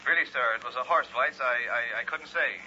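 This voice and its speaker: An actor in an old-time radio drama playing a formal, apologetic night clerk. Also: male voice, mid-range voice, brisk, crowd noise, lightly acted. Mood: deferential. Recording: muffled, noisy vintage radio recording.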